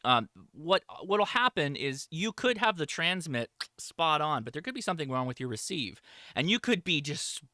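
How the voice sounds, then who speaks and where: A man's voice: on the high side, sing-song, normal speed, pushed and bright, dry recording, studio quality. A man presenting a hobby lesson in a casual, explanatory way, informally stressing the key points as he goes. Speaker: presenter of an amateur radio show. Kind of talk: casual live slideshow lesson.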